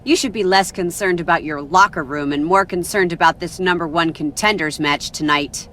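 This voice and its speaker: A woman playing a brisk, authoritative wrestling boss in a video game cutscene, commanding and businesslike. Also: on the low side, a bit bright, a little hiss or hum, lightly acted. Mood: stern.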